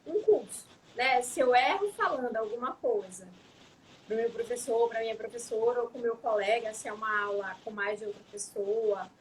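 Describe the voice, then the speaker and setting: A woman's voice: on the high side, normal speed, a little hiss or hum, low-quality recording. A young adult woman, a psychologist, talking in a casual interview, reflective and often adding tag questions. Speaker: psychologist guest.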